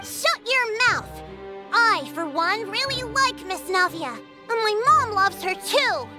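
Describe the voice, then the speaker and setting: A small, defiant child in a game scene. The voice is extremely high and lively, blunt and emphatic, under loud music. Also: female voice, slow pace, heavily acted. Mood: defiant, earnest. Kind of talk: dramatic video game acting.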